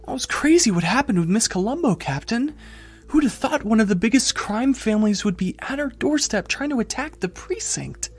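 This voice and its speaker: A man's voice: extremely high pitch, expressive, moderate pace, breathy edge, faint music behind, heavily acted. A man playing a young rookie cop with a sly double side in a noir audio drama. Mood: eager, hesitant. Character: young male rookie cop, seemingly eager, secretly a sly informant.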